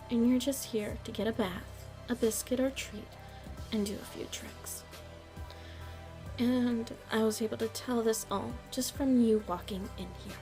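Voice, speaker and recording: Casting: actress as shrewd, proud mob headliner, female, higher voice, haughty and taunting, ASMR roleplay drama, music underneath. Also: a little mellow. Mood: scornful. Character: shrewd proud nightclub headliner and businesswoman in the mafia.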